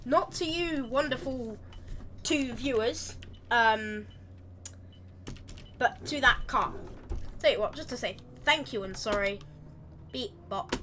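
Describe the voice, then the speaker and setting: A woman with a slightly croaky voice, rambling chattily through live gameplay commentary and correcting herself as she goes. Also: on the high side, takes it slowly, pauses often, pushed and bright, slight background noise. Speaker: game streamer.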